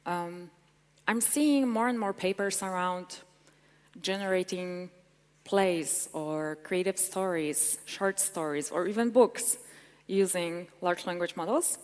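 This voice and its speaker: A woman's voice: lowish voice, slow. Eager woman in a hall. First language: Polish. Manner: eager, chatty presenting with fillers. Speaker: conference speaker. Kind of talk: tech conference talk and Q&A. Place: large conference hall. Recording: reverberant conference hall.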